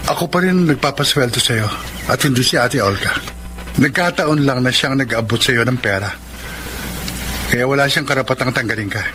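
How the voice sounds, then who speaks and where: A man's voice: medium pitch, normal speed, lightly acted. An actor with a Filipino accent playing a devoted, tender man in love in a romantic radio drama. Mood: resolute. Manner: earnest, tender, resolute. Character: devoted, pleading doctor and father in love. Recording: loud music under the voice. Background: loud music.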